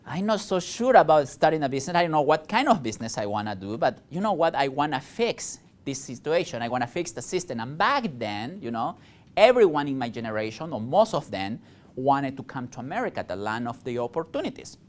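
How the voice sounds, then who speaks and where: A man's voice: mid-range voice, sing-song, a little fast. Peruvian-accented man giving a campus talk. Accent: Peruvian Spanish. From Peru. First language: Spanish. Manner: conversational, many fillers and restarts. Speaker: guest speaker, chamber of commerce director. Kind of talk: lecture to college students. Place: college lecture room.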